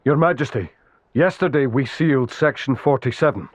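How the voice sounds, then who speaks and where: A man's voice: mid-range voice, moderate pace, dry recording, close to an everyday voice. A man playing a dutiful royal adviser in a video game, formal and deferential. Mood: formal report. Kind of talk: dubbed video game cutscene acting.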